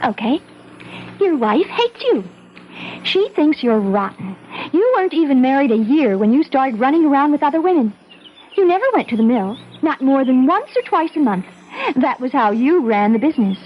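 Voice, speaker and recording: High-voiced, brazen teenage girl. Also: sing-song, normal speed. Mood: scornful. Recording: muffled old radio recording, faint noise.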